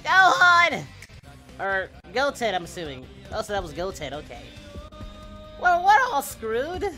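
Man doing an excitable cartoon hero, wildly swooping pitch. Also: unhurried, soft background music, full character voice. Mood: alarmed, mock outrage. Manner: exclamatory, swings from muttering to yelling. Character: parody Goku, excitable goofy male cartoon hero. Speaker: reaction video host. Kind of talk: casual reaction commentary.

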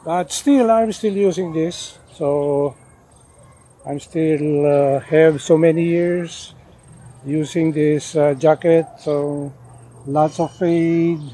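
An older man showing off his denim jacket outdoors, talking casually with lots of pauses and "uh" fillers. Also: fairly high pitch, fairly even intonation, mellow. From Philippines. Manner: unhurried, many "uh" fillers and restarts. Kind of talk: casual show-and-tell. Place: outdoors in a leafy garden.

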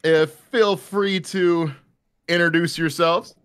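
A man's voice: a little high, steady tone, takes it slowly, a bit bright, clear, resonant tone, dry recording, studio quality. A man moderating a live question panel in a casual, conversational style. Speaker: panel moderator and cast member.

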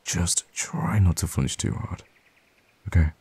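A man playing a mysterious, outwardly friendly vampire hermit in an ASMR audio roleplay, talking in a halting, softly teasing way with quiet menace. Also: low voice, husky, dry recording, heavily acted. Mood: wry, teasing. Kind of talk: ASMR audio roleplay, intimate in-character monologue.

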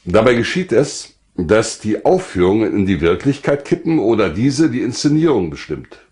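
A man's voice: lowish voice, a little mellow. An older man, an author, giving a scripted presentation of his own work and reading from it.

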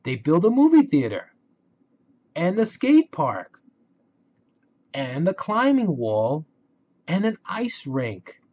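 A man reading a children's story aloud in a simple, expressive way, on a slightly dull home recording. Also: a little high, slow, long pauses. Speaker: picture book reader.

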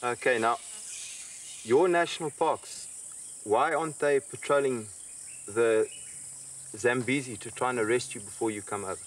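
A man's voice: mid-range voice, normal speed, long pauses, a little hiss or hum. A man with a mid-range voice questions someone insistently, repeating and rephrasing to pin down answers. He is recorded from some distance outdoors, with faint crickets and insects in the background. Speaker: interrogator. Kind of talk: interrogation. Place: outdoors in the bush.

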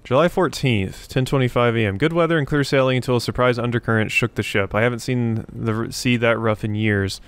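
Man with a clear, smooth voice. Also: medium pitch, a little fast, few pauses. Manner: thinks aloud, talking to himself and the game. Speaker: gaming video host. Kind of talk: casual let's play commentary, reading game notes aloud.

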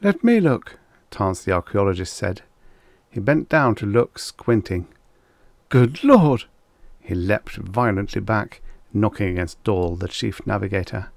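A man narrating a vintage science fiction story as an audiobook, with measured, deliberate delivery. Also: fairly low pitch, gravelly, no room sound, clean studio sound.

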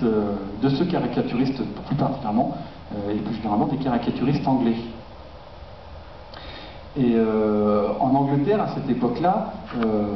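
A man's voice: mid-range voice, far from the mic. A man gives a public lecture with slides, hesitating often as he searches for words. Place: dark auditorium. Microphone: distant camera in the audience. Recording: echoey, dull, noisy auditorium recording from afar.

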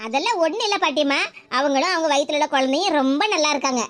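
A woman's voice: high voice, quick pace, fairly bright tone, voice pushed down. A woman voicing a patient woman doctor reassuring a worried grandmother. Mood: calm. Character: calm woman hospital doctor.

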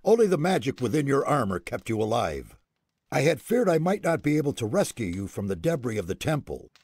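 An actor playing a solemn, commanding sorcerer mentor in a fantasy game, with a husky voice and deliberate, theatrical delivery. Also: male voice, mid-range voice, big pitch swings, a little mellow, no echo, studio quality. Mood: grave, measured.

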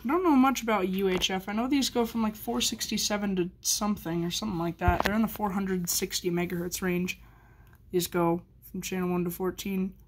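A woman's voice: mid-range voice, fairly even intonation, a little fast, slight background noise, poor audio. A woman chatting informally in a hobby vlog, rambling and thinking aloud as she goes. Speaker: hobbyist video maker. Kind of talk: casual vlog chat.

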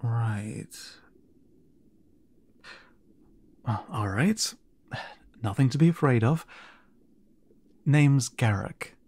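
A man playing a gentle, flustered siren in an audio roleplay, speaking haltingly and stammering with concern, often dropping to a breathy near-whisper. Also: medium pitch, expressive. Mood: reassuring. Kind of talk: audio roleplay addressed to the listener.

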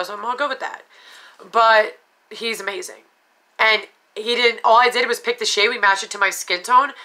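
A woman's voice: medium pitch, vocal fry, pushed and bright. A woman in her thirties talking casually to a phone camera at home, chatty and blunt, drifting off topic, her voice nasal and congested from allergies. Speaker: vlogger. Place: small room at home. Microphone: phone camera at arm's length.

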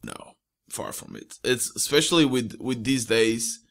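A man's voice: mid-range voice, huge pitch swings, a little slow, creaky, fairly bright tone. A young man with a Portuguese accent, talking casually as a podcast guest into a close microphone at home. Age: young adult. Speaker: podcast guest, indie entrepreneur. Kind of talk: casual interview chat. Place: small room at home. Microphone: close studio mic with pop filter.

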